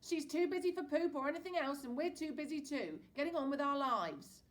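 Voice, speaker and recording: A woman voicing a brash, upbeat father who turns gruff when pushed. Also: high-pitched, expressive, fairly quick, a bit bright, voice pushed up. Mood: snappy.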